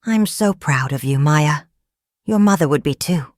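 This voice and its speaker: A woman voicing a gentle, supportive father in a storybook narration, speaking in a reassuring, thoughtful way. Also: deep voice, expressive, unhurried, no room sound, clean studio sound. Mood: proud.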